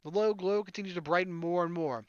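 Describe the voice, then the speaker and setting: A man's voice: high voice, fast, no room sound, highs cut off. A man hosting a gaming video, reading a visual novel aloud expressively and dropping in casual asides.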